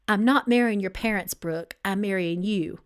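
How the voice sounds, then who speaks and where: A woman's voice: mid-range voice, normal speed, clear, resonant tone, lightly acted. A woman reading a story aloud as a stern engaged man who is resentful, suspicious and firm. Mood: angry. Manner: firm, edgy, incredulous.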